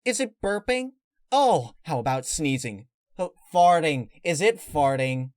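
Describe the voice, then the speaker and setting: A man acts out a naive, bubbly girl pony from a cartoon. The voice jumps high and exclaims like a stubborn child, recorded very dry in a dark fan-story reading. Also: expressive, measured pace, no echo. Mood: silly, excited.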